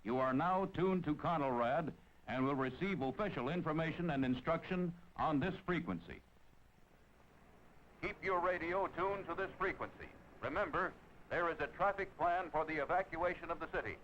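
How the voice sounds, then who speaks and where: A man reading an official emergency radio broadcast in a crisp, commanding announcer style. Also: fairly high pitch, moderate pace, fairly bright tone. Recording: dull old radio broadcast with faint hiss.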